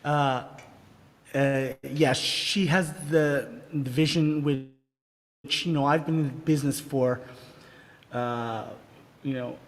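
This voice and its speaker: A middle-aged man hosting a casual live conversation, halting and often repeating his words as he goes. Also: medium pitch, fairly even intonation. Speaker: podcast host and music producer. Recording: reverb-drenched live stream, clear background noise.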